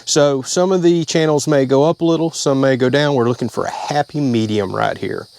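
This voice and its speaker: A man with a drawl walks through a hands-on job step by step, speaking plainly and at an easy pace. His voice sits at an ordinary middle pitch, and the sound is that of someone talking inside a vehicle. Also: a little fast, vocal fry, darkish tone. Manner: plain-spoken, step-by-step explaining. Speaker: channel host. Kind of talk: casual how-to vlog.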